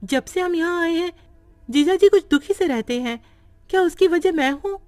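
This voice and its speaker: Anxious, sensitive younger sister acted by a woman, very high register, cartoon story, faint music. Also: lively intonation, normal speed, a little mellow, no room sound.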